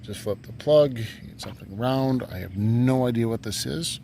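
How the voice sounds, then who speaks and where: Man, low voice, gravelly fry, halting understated delivery, casual outdoor vlog, faint background noise. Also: warm tone. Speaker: metal detecting vlogger. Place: outdoors on rural farm property.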